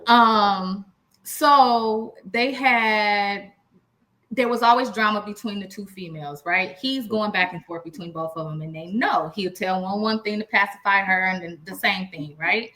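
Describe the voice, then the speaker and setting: Woman, American accent, sharp bright voice, medium pitch, frank slangy delivery, emphatic, casual podcast co-host. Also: unhurried, rough recording. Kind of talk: casual relationship chat with anecdotes.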